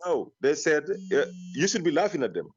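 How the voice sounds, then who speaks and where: A man calling into a debate who talks fast, his pitch jumping around as he argues back. He brushes off his opponent with a rushed, dismissive manner. His line sounds muffled, with faint noise behind him. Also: very animated. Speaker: caller. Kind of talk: heated live call-in debate.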